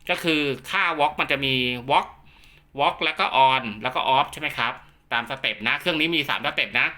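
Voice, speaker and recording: A man's voice: mid-range voice, bright, forceful tone. A man giving an informal, chatty product demonstration and explanation, talking like a technician who instructs and repeats his points. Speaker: radio equipment technician and seller giving a demo.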